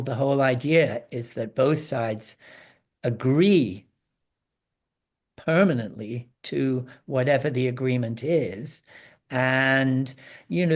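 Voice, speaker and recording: A man with a mid-pitched voice talks as a guest analyst on a panel. His delivery is very slow and halting, with repeated words and hesitations as he explains things informally.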